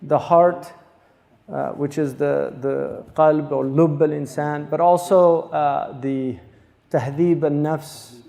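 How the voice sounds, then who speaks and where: Man speaking in an echoey hall, gravelly. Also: fairly high pitch, steady tone, dark tone. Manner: halting, many pauses and fillers. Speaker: host introducing a guest scholar. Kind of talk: unscripted introductory talk at a lecture. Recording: echoey hall, distant mic.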